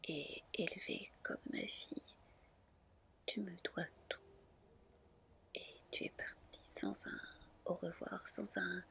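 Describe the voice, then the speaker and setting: A woman's voice: medium pitch, gravelly, a bit bright. A woman voicing a stern, reproachful dragon mother in a whispered storybook reading. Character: stern dragon mother, reproachful of her ungrateful adopted daughter.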